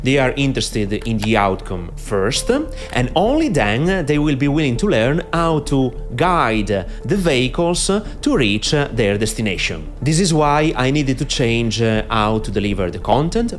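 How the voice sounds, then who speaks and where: A middle-aged man with a strong Italian accent talks straight to camera about online marketing, his pitch rising and falling a lot and jumping up on key points. He fills pauses with frequent "uh"s, and soft background music runs under his voice on a podcaster mic. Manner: frequent "uh" fillers, emphatic lifts on key phrases. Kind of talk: direct-to-camera advice talk. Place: in front of a green screen. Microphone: podcaster microphone.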